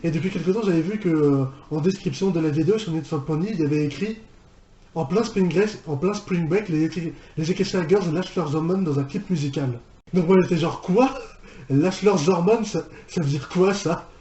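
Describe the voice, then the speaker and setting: A man's voice: fairly high pitch, fairly quick, mellow, highs cut off. A man giving casual video commentary, thinking aloud informally and repeating himself. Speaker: reaction video host. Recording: roomy, echoey sound.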